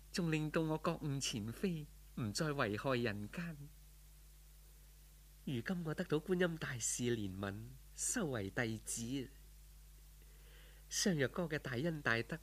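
A man voicing a gentle, solemn female spirit in a Cantonese folk tale, speaking formally and with calm authority. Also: medium pitch. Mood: serene, grateful. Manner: solemn, formal, calmly instructive. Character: serene, gentle female spirit turned heavenly disciple. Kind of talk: audiobook folk tale character.

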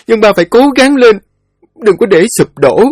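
A man's voice: high voice, very animated, normal speed, no room sound, studio quality, faint music behind, pitched above the performer's own voice. A man voicing a grieving grown daughter who tenderly pleads with her old father to hold on. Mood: pleading.

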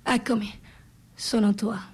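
A woman's voice: mid-range voice, flat delivery, a little slow, a little mellow, husky. An actress playing a passionate, devoted woman who implores her lover, in a theatrical radio drama style. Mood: pleading, seductive. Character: passionate, pleading woman devoted to a brutal warlord.

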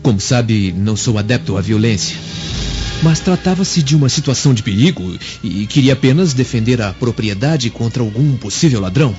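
Cunning, vengeful young male servant, acted by a man, radio drama; mid-range voice, measured and deferential, muffled sound, soft score. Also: mid-range voice, animated, lightly acted. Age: young adult. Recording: muffled audio under background music.

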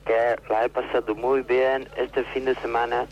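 An actor playing a bossy, self-important official, speaking haltingly on a radio comedy phone-in. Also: male voice, mid-range voice, level tone, gravelly, muffled recording. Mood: demanding. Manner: halting, demanding, pompous.

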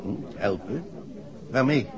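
An actor playing a brusque, proud, suspicious husband, speaking curtly in a 1960s radio drama. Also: male voice, mid-range voice, expressive, takes it slowly, a crowd in the background. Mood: cool, irritated. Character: brusque, proud male husband suspecting his wife. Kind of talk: 1960s radio play acting. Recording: dull old radio recording with music.

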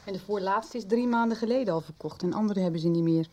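A woman's voice: medium pitch, fast, a little mellow, natural voice. An actress in a radio soap playing a curious, thoughtful woman with a hesitant, questioning manner. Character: curious, thoughtful woman following a book mystery. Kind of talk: 1990s radio soap acting.

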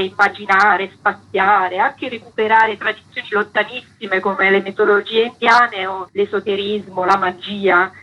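A woman with a slightly husky voice, an interview guest explaining her curatorial work in full answers. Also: mid-range voice, bright, forceful tone, muffled recording.

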